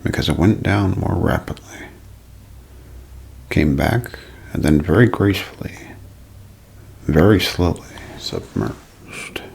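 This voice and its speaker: A man giving a scripted, dramatic ASMR mystery narration in a gravelly, husky voice that often sinks toward a whisper, with deliberate storyteller phrasing. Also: very deep voice, darkish tone.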